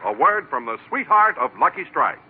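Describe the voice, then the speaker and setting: A man's voice: fairly high pitch, very animated, moderate pace, background noise. A male announcer reading formal scripted 1950s radio credits and sponsor lines. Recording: tinny 1950s radio recording with music. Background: music.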